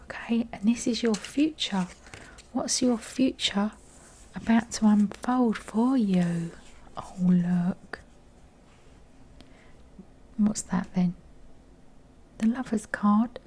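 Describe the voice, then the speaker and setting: Female tarot reader, very breathy and croaky, mellow, near-whispered, gentle and chatty, slightly tired delivery. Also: medium pitch, slow pace, pauses often. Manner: gentle affectionate address, chatty asides. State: croaky voice, low on energy. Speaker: tarot card reader and channel host. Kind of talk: intimate, informal tarot reading addressed to viewers.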